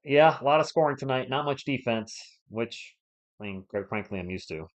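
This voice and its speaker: A man's voice: mid-range voice, animated, normal speed. A man who is a bit under the weather hosts a live sports stream, giving casual fan play-by-play and chatting with viewers by name in a conversational, playful way.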